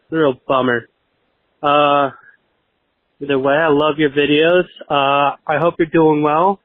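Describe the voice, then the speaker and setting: A man with a fairly high voice speaks over a tinny phone line, close and dry. His delivery is casual and low-key, halting between short slangy phrases. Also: fairly even intonation, no room sound. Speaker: voicemail caller. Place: in a car.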